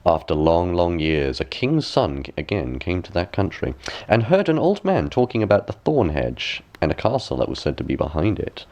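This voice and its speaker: A man narrating a bedtime fairy tale as a storyteller, with expressive, measured and deliberate phrasing. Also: low-pitched, highs cut off. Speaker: storyteller, audiobook reader.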